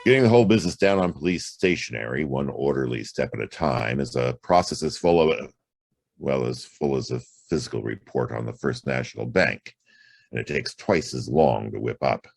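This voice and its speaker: A hard-boiled detective played by a male actor from a noir audio drama. The voice sits extremely low and crackles with gravel, close and dry. He is laconic and wry, and his delivery moves widely in pitch. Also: expressive, normal speed, no room sound, faint music behind, heavily acted. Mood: wry narration. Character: world-weary hard-boiled private detective, adult man. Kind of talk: noir radio drama acting and first-person narration.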